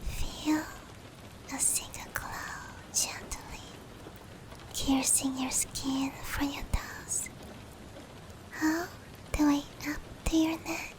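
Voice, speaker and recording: Woman acting whispery high-voiced demon girl, slow. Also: low-quality recording. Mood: menacing, teasing. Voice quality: breathy, whispery, high-pitched. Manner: slow, hushed, close, drawn-out phrasing. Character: female sleep paralysis demon, teasing menace turning tender. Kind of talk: roleplay ASMR, soft whispering. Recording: steady rain noise behind voice.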